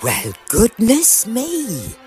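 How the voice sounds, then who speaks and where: Actress doing a posh-mannered older edwardian mum, slow and low, lots of lilt, radio play. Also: female voice, wildly swooping pitch, music in the background, exaggerated voice. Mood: genteel, admiring. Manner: polite, old-fashioned, measured. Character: genteel elderly Edwardian mother, fussy and admiring. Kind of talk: audio drama acting.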